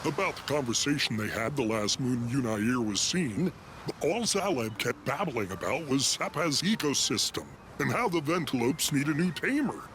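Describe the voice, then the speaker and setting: An actor playing an exasperated, wry alien villager in a video game, with a gossipy way of talking. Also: male voice, mid-range voice, expressive, normal speed, fairly bright tone, a little hiss or hum, natural voice. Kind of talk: video game cutscene acting.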